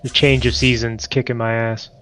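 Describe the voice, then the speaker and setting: A man's voice: medium pitch, little pitch movement, moderate pace, a bit bright, slight background noise. A man with a stuffed-up nose from allergies, casually thinking aloud while playing a multiplayer strategy game. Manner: thinking aloud, muttering to himself. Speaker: game streamer. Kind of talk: casual multiplayer gameplay commentary.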